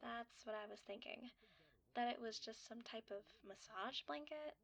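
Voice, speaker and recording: Woman acting a puzzled friend, fairly high voice. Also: moderate pace, fairly bright tone. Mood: puzzled, casual. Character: casual, puzzled friend calling about her new blanket. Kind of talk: ASMR roleplay.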